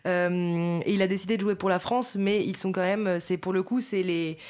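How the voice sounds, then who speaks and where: A panelist on a TV discussion who talks rapidly and restarts sentences often. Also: medium pitch, steady tone, a little mellow, clean tone.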